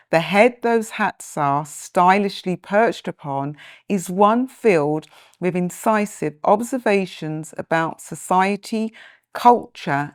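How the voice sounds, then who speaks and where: A woman's voice: fairly low pitch, no echo, clean studio sound. A woman hosting an interview, reading an introduction and asking conversational questions with small restarts. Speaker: podcast host.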